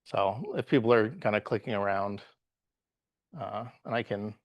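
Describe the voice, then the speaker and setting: A man in his forties, from the Bahamas, giving a live tech meetup talk as a guest presenter, relaxed and halting with frequent "uh"s and restarts. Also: fairly low pitch, muffled recording. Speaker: guest presenter, software engineer.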